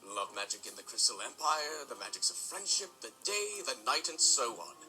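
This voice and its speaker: An actor playing a stern, older, aristocratic father and magic researcher in an audio drama, speaking formally and deliberately. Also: mid-range voice, very animated, natural voice, low-quality recording. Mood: solemn, musing. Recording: music behind the voice.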